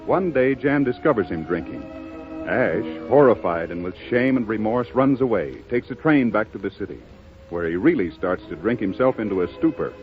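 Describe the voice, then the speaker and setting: Low-toned man narrating old radio drama. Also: animated, normal speed. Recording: muffled lo-fi 1930s radio broadcast. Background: faint music.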